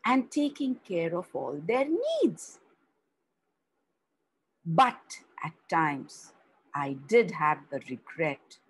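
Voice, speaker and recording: A soft-spoken grandmother in her sixties , read by a woman storyteller, sad yet determined as she talks to her grandchild. The voice is breathy and husky, with a pitch that rises and falls a lot. She speaks slowly and earnestly, like a storybook reading. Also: full character voice, poor audio. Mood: fond, wistful. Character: gentle sixty-two-year-old grandmother, sad but determined.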